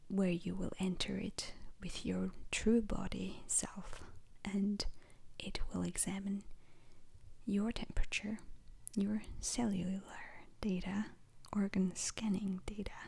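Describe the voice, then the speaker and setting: Female performer as formal intergalactic instructor for ASMR roleplay; breathy, husky whisper with some crackle, calm halting delivery. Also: mid-range voice, heavily acted. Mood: calm, instructive. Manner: slow, deliberate, halting, formal.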